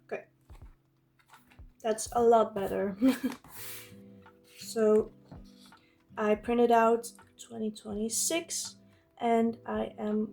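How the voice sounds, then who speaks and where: A woman's voice: a little high, mellow. A woman from the Netherlands with a Dutch accent, chatting informally in English as a non-native speaker while narrating a craft vlog. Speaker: craft vlogger. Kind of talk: casual narration while journaling.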